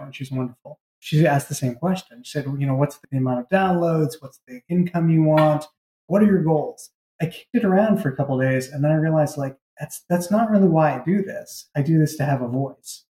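A man's voice: a little high, mellow. A man answering as an interview guest, a writer talking reflectively and conversationally about his work. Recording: roomy, echoey pickup.

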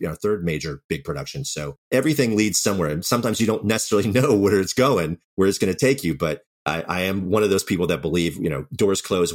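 A man speaking casually and earnestly in an interview as a guest writer and director, often telling anecdotes. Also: low-pitched.

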